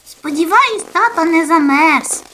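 A woman voicing a cheerful, boastful little skeleton boy in a comic dub, with an excitable childlike manner. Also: very high voice, unhurried, faint music behind, heavily acted, low-quality recording. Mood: excited. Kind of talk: comic dub, cartoon voice acting.

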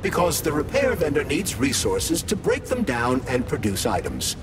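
A man's voice: medium pitch, very animated, moderate pace, close to an everyday voice. A man voicing a polite AI glove in a video game, speaking in a formal, articulate, instructive way. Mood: calm, instructive. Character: polite, advisory male AI built into a glove. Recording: loud music behind.

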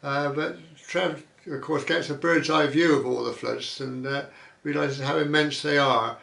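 A man hosting a vlog, reading viewer comments aloud and musing on them in an easygoing way, often pausing with "uh". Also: a little high, fairly even intonation, gravelly, a bit bright, roomy sound. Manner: chatty, musing aloud, many "uh" fillers. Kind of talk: casual chat.

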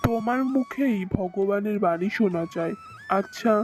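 A woman's voice: mid-range voice, moderate pace, darkish tone, natural voice. A woman voicing a poor, devout village farmer and father in a cartoon, earnest and pious. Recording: dull lo-fi sound with faint music.

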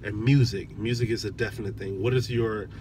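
A man in his thirties talking casually in a parked car, relaxed and chatty with lots of "um"s, recorded on a dashboard camera. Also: on the low side, brisk, background noise. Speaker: vlogger, father. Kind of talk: casual vlog chat.

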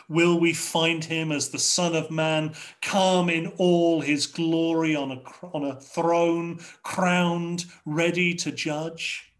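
A middle-aged man with an English accent preaching a sermon from a home study over a video call, in a measured, rhetorical way with repeated phrases. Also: a little high, a bit bright. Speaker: pastor. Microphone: video call webcam.

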